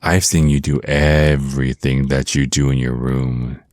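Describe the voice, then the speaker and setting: An extremely low-voiced, flirty vampire naga villain, acted by a man, speaks in an intimate ASMR roleplay. He is ancient, possessive and taunting, drawing out his menace at an unhurried pace. The sound is close and dry. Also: little pitch movement, a little mellow, dry recording. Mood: smug, taunting. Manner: slow teasing menace, pet names, breathy pauses.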